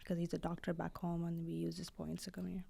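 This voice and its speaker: A woman's voice: on the low side, monotone, fast, creaky, mellow, slightly rough. A young woman from Sri Lanka, chatting casually as a guest, with lots of fillers and restarts. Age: young adult. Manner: chatty, many fillers and restarts, laughs often. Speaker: guest artist. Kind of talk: casual interview chat.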